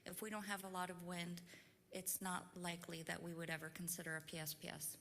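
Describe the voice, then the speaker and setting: A soft-spoken woman from a utility explaining preparedness at a public forum in an orderly way. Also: on the low side, flat delivery, brisk. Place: large meeting hall. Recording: reverberant hall with faint noise.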